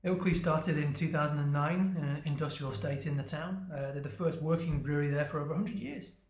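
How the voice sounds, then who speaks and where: A chatty, jokey man hosts a beer tasting podcast, rattling along at a quick clip in a slightly high voice. He is recorded in an echoey room with faint music underneath. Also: a little mellow. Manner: chatty, reads out facts, jokey. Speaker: podcast host. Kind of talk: casual chat.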